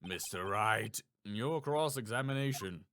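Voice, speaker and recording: Man voicing a stern older male judge, animated. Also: fairly low pitch, unhurried, slight background noise, pitched below the performer's own voice. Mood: formal. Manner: formal, pronouncing.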